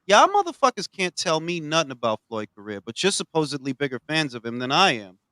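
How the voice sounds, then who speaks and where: A man in heated boxing talk, cursing and ranting with a voice that swoops from low grumbles to high, loud bursts. His tone sits a little high. The sound is close and dry, with no room around it. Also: big pitch swings, brisk, no echo. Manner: ranting, profane, swings from low mutters to loud outbursts. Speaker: podcast host.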